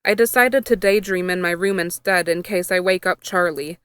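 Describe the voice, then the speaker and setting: A woman's voice: lowish voice, moderate pace, clean tone, no room sound. A woman narrating a first-person story, reading from a script as she goes. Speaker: fan fiction reader.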